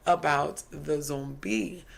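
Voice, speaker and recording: A woman's voice: low voice, fairly even intonation, slow pace, gravelly, soft background music. An American woman co-hosting a livestream, blunt and conversational as she introduces video clips. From United States. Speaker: co-host. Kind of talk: livestream commentary.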